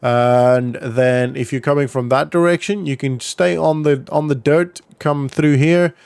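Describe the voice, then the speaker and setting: A man's voice: medium pitch, a little slow, vocal fry, a little mellow, smooth, no echo. A man giving casual, conversational roleplay gameplay commentary, explaining things as a gaming vlogger.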